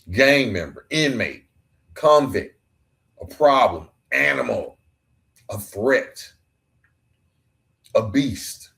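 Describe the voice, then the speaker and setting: Middle-aged guy, lowish voice, earnest emphatic podcast monologue kinda preachy. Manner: earnest, emphatic, preacher-like delivery with rhetorical questions. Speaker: podcast host and author. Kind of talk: reflective solo podcast monologue.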